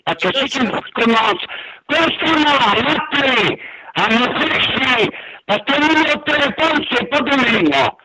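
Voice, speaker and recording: A man's voice: very high-pitched, big pitch swings, a little slow, background noise. A man arguing heatedly on a phone call, indignant and threatening, repeating himself. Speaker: prank call target.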